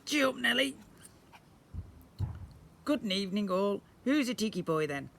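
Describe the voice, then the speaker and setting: A man's voice: high voice, big pitch swings, unhurried, vocal fry, fairly bright tone, slight background noise, pitched above the performer's own voice, exaggerated voice. A man voicing a chatty, cheeky comic storybook parrot in short stock phrases. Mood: cheeky, chirpy.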